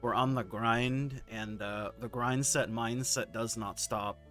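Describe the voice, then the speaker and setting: A hoarse man with a scratchy, sore-throated voice talks through gaming forum posts in a casual, candid way. His pitch sits mid-range for a man, and faint music plays underneath. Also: fairly even intonation, slow, fairly bright tone. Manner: laid-back, candid, occasional swearing. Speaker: gaming video host.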